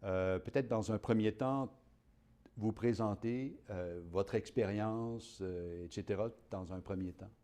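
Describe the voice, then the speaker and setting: Homme animateur, voix grave et feutrée. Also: male voice, on the low side, a little slow, dark tone. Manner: friendly, many fillers. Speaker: webinar host. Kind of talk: hosting a live webinar. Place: studio.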